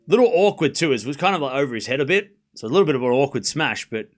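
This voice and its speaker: A man hosting a livestream, giving excitable live tennis commentary in short clipped phrases, mixed with casual chat. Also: mid-range voice, fast.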